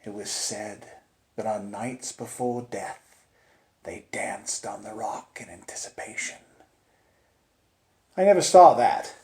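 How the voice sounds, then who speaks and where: A man telling a suspense story in the first person, theatrical and deliberate, with dramatic pauses and swings between hushed and urgent delivery. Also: fairly low pitch, expressive. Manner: theatrical, swinging from hushed near-whispers to loud urgent peaks. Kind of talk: dramatic live oral storytelling of a suspense tale. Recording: roomy, echoing space.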